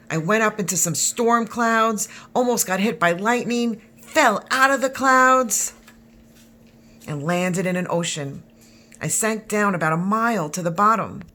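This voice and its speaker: A woman's voice: on the low side, sharp tone. A woman voicing an unflappable grandpa who tells a tall tale in a storybook.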